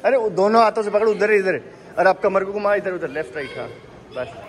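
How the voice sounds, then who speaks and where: A man's voice: high voice, brisk. A man films his family in a big indoor gym, narrating with a teasing tone and calling out instructions. Chatter from the crowd around him runs behind his voice. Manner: teasing, coaching others on gym machines. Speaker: vlogger filming his family. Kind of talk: casual family vlog narration. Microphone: handheld phone camera.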